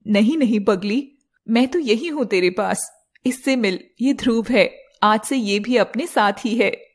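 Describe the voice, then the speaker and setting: A woman voicing a caring, protective young heroine in an animated fairy tale, over a noisy background. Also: on the high side, unhurried. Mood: affectionate.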